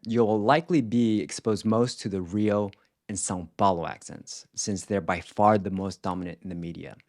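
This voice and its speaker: Low-voiced man teaching in scripted narration. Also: a little mellow. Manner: clear explanatory delivery with careful enunciation of example words. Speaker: language teacher presenting a video series.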